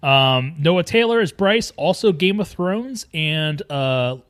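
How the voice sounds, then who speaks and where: A man's voice: on the high side, expressive, takes it slowly, a bit bright, clean tone. A man hosting a film podcast, chatting casually with a jokey, riffing manner. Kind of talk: casual film discussion, bantering.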